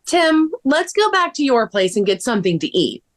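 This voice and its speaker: Woman acting an excitable high-voiced girl sleuth. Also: moderate pace. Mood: eager, friendly. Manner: expressive, exclamatory. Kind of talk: table-read acting of a children's mystery.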